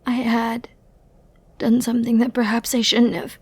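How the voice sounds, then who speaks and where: A woman playing an ancient, flirty and dangerous banished villainess in an intimate ASMR roleplay, breathy and often near a whisper, with tender, brooding, dramatic pauses. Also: on the high side, level tone, no echo, pitched below the performer's own voice. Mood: hurt, regretful.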